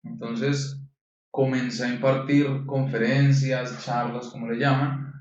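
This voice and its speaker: A man's voice: medium pitch, flat delivery, a little mellow. Colombian-born man in an echoey room. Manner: measured, reflective, with frequent restarts. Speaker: guest, neurolinguistic programming coach. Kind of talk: interview answers. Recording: echoey room, distant dull mic.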